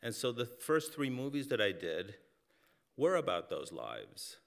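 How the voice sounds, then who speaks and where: A man's voice: medium pitch, huge pitch swings, a little slow, gravelly, a bit bright. A man from Mexico, a film and TV director speaking on a live panel, reflective and full of "you know" fillers and restarts. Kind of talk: panel discussion.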